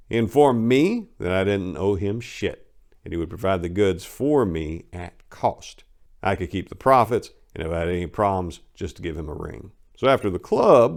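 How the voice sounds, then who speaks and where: A man's voice: on the low side, vocal fry. A man narrating a first-person story in a dramatic audiobook reading, with a blunt, streetwise, conversational manner.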